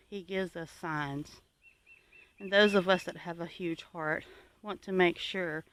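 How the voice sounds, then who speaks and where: A homesteading channel host gives viewers advice in an earnest, exhorting and deliberate way. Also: mid-range voice, a bit bright, slight background noise.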